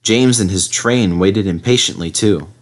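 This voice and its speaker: A man reading a children's story aloud as an expressive narrator. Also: low-pitched, a little slow. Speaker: storybook reader.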